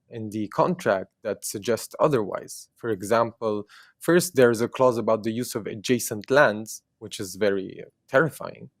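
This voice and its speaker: Low-voiced man, earnest and halting. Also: dry recording, clean studio sound. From Jerusalem. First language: Armenian. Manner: earnest, frequent "uh" fillers and restarts. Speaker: activist guest. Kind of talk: interview.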